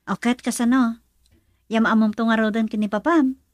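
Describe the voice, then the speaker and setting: An actress with a northern Philippine accent playing a frank, supportive young best friend in a radio drama, animated and questioning. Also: female voice, on the high side, normal speed, no room sound, studio quality, lightly acted. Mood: frank, curious. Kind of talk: Ilocano radio drama acting.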